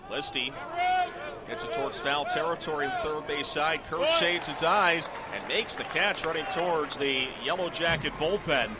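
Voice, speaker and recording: A middle-aged man calling live sports on the radio as a play-by-play announcer, in measured, clipped phrases. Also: fairly high pitch, heavy background noise.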